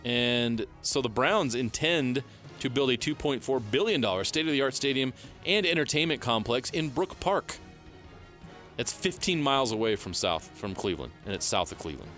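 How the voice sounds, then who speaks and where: A man hosting a sports radio show, briskly reading headlines and teasing his co-host. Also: mid-range voice, lively intonation, fairly bright tone, faint music behind.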